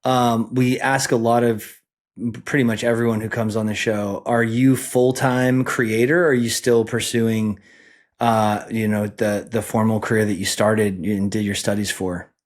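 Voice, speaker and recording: A man with a somewhat low voice hosts a podcast. He opens with a read intro, then moves to easy, upbeat questions for his guest. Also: flat delivery, a little slow. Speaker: podcast host. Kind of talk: scripted intro then casual interview.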